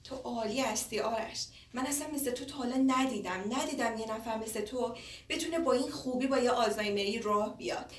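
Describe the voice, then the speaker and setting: A woman acting a caring, worn-out daughter in a drama. Her voice is on the high side, soothing and pleading, picked up from a distance in a reverberant room. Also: natural voice. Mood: tired, earnest. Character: caring, worn-out grown daughter of an old man. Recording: echoey, noisy room, distant mic.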